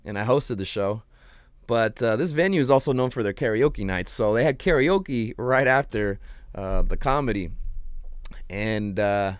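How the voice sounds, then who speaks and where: Laid-back low-voiced man rambling casually. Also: lively intonation, measured pace, clean tone, no echo, studio quality. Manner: laid-back, rambling, lots of ums and slang. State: slightly hoarse. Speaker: podcast host. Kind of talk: casual solo livestream chat.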